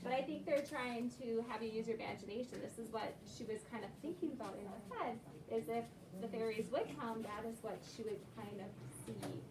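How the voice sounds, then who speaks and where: A woman teacher with a fairly high voice leads a read-aloud discussion in an echoey room. She prompts with questions and affirms answers. The microphone is at a distance, with clear noise behind her. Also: a little fast, few pauses. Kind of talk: interactive read-aloud lesson with children.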